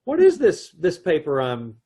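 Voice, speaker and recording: A man's voice: fairly high pitch, wildly swooping pitch, measured pace, dark tone, slightly rough, exaggerated voice. A man playing an exasperated, wry husband who also narrates, in an amateur old-time radio play. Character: put-upon husband, adman Mister Ace. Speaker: narrator of the story.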